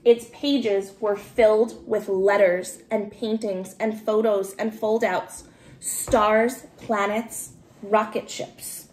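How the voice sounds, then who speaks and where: A middle-aged woman reading a children's story aloud expressively, with deliberate, emphatic phrasing. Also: mid-range voice, fairly even intonation, a little mellow. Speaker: storybook reader. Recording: roomy home recording with noticeable hiss.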